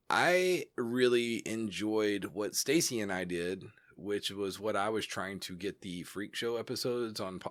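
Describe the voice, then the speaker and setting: A man with a fairly low voice riffs loosely as a podcast co-host, wandering between ideas and ribbing his partner. The recording is close and dry, with no room sound at all. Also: fairly bright tone, no echo. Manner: rambling, teasing, quick to laugh. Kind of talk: casual podcast chat.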